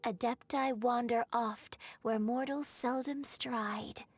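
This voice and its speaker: Elegant young opera lady, highish creaky-edged voice, poised storyteller, game dialogue. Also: fairly even intonation. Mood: nostalgic, admiring. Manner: eloquent, measured, theatrical storytelling. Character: graceful young female opera performer, poised, refined storyteller. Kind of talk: video game voice acting.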